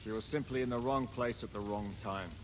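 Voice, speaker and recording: An actor playing a level-headed, earnest medieval man-at-arms in a video game, measured and matter-of-fact in delivery. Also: fairly low pitch, noisy background, close to an everyday voice. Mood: sober. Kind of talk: dramatic video game dialogue.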